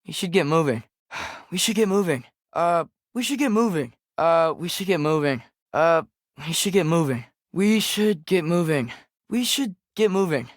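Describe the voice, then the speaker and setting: A man's voice: on the high side, expressive, moderate pace, no room sound, clean studio sound, close to an everyday voice. A young man with an American accent voicing a restless, casual teenage boy adventurer in a video game, with a hesitant, understated delivery. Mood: impatient, restless. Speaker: game character voice actor. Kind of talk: video game companion voice lines.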